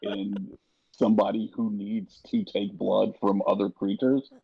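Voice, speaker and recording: Gravelly, low-voiced man, lo-fi mic. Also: level tone, measured pace, a loud crowd. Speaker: guest on an after-show. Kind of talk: casual chat. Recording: dull, lo-fi mic.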